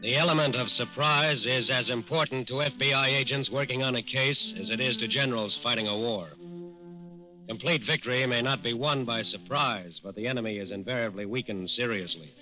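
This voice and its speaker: A man's voice: medium pitch, a little fast, bright, forceful tone. A man giving grave, formal scripted narration for a 1940s radio crime drama. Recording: muffled 1940s radio recording. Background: music.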